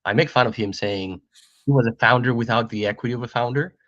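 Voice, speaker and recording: A man's voice: mid-range voice, fast talker, fairly bright tone, no room sound. A man from Greece, around forty, a startup co-founder telling his story in an interview, friendly and repeating his words as he goes. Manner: friendly, repeats words, laughs between points. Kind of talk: interview conversation. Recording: dry muffled remote call audio.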